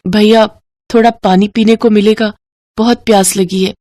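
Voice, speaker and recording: A woman's voice: medium pitch, flat delivery, normal speed, mellow, no room sound, clean studio sound, close to an everyday voice. A woman voicing a humble, hardworking village mother in a story, polite and pleading. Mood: humble, hesitant.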